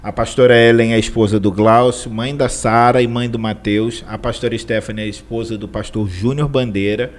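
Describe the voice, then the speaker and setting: A man's voice: medium pitch, fairly even intonation, a little fast, few pauses. A Brazilian man co-hosting a podcast, chatty and laughing easily as he introduces his guests. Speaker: podcast co-host. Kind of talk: casual interview.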